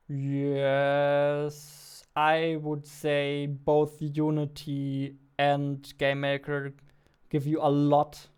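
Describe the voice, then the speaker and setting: A man's voice: a little high, a little mellow, smooth. A man, chatting casually as he works on a livestream into a close studio mic. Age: 20s. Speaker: indie game developer streaming his work. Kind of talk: casual livestream chat while working. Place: small indoor home studio. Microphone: close studio mic on boom arm.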